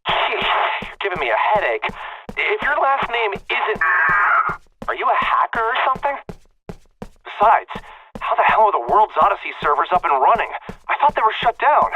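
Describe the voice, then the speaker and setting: A man's voice: high voice, very animated, moderate pace, pauses often, over music. A man playing an exasperated, probing young programmer in an anime-style game, heard through a radio-like filter. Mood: exasperated, incredulous. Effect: radio-like transmission filter. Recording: thin phone-like radio filter, distant.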